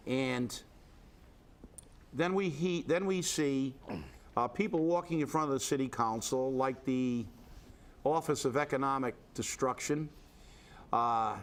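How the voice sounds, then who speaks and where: A man hosting a local political commentary show, stuffed up with a head cold, talking in an indignant, rambling way with many restarts. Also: mid-range voice. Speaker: host.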